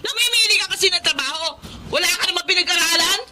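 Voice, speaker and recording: A woman's voice: fast talker, heavy background noise. A woman acting a hot-tempered, scolding Filipino wife in a melodramatic radio drama, with a Filipino accent. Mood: angry, scolding. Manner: shrill, scolding, accusing.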